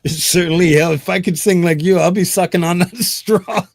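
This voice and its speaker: A man's voice: high voice, steady tone, brisk. A man hosting a community music show, chatting casually with his co-host, laughing and joking often.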